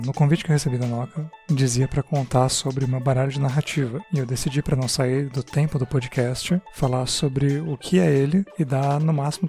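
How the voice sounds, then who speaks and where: A man's voice: medium pitch, dark tone. A man with a Brazilian accent giving a prepared, explanatory monologue as a podcast guest. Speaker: podcast guest, game creator.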